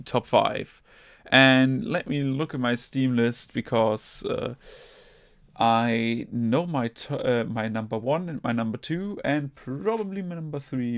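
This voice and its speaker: A man's voice: mid-range voice, no echo, studio quality. A young man from Germany with a German accent, hosting a casual gaming podcast, rambling with many fillers, restarts and casual swearing. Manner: rambling, lots of fillers and self-corrections. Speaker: podcast host.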